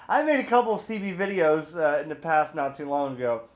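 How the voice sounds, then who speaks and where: A man hosting a casual, off-the-cuff product review, chatty and informal, with animated, emphatic asides. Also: fairly high pitch, normal speed. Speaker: video host, radio hobbyist reviewer.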